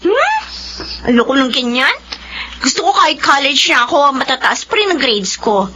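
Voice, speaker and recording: A woman's voice: high voice, normal speed, slight background noise, exaggerated voice, poor audio. An actress playing an eager, bubbly schoolgirl who adores her father. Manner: bubbly and excitable. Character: eager schoolgirl, daddy's girl. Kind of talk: radio drama acting.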